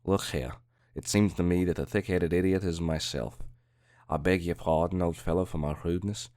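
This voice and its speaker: A man voicing a proud, quick-tempered Egyptologist in a dramatic audiobook, firing emphatic, scornful questions. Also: sits low, fairly even intonation, dark tone, dry recording, studio quality, natural voice. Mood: apologetic.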